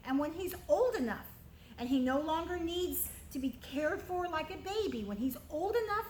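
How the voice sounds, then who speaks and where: A woman's voice: high-pitched, moderate pace, darkish tone, slight background noise, voice pushed up, natural voice. A woman playing a decisive young princess. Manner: commanding, eager. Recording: roomy echo.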